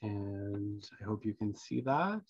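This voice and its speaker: A man's voice: on the low side, lively intonation, takes it slowly, a little mellow, slightly rough, no echo. Man, online meeting host, muffled video-call audio, dry room. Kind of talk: hosting an online town hall, prepared remarks.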